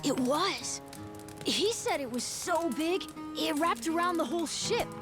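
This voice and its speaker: A woman's voice: very high voice, expressive, a little slow, music in the background. A woman voicing a curious, adventurous young child with a casual, sincere way of talking, in an English video game dub. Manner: casual, plainspoken. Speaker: video game character actor.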